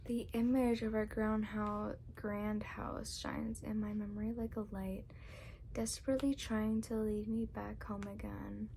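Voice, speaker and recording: A woman reading a story aloud in ASMR style, speaking softly and often dropping into a whisper. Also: mid-range voice, little pitch movement, a little slow, vocal fry, slight background noise. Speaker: ASMR reader.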